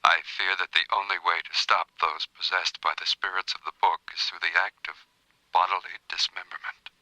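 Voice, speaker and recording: An actor playing a grave, scholarly archaeologist haunted by demons, speaking solemnly in a horror film's recorded journal. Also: male voice, sits low, level tone, bright, forceful tone, dry recording. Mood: grave dread. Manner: solemn, measured, formal scholarly diction. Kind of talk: scripted horror film recorded-journal narration. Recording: noisy old reel-to-reel tape playback.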